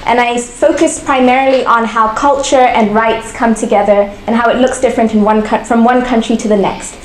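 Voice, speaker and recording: A young woman from Zambia giving a friendly, conversational presentation to a class, often pausing with "um". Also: on the high side, steady tone, a little hiss or hum. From southern Africa, Zambia. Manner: friendly, conversational, with frequent ums. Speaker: guest speaker for a nonprofit. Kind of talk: informal presentation to a congregation class. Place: synagogue class room. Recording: echoey room.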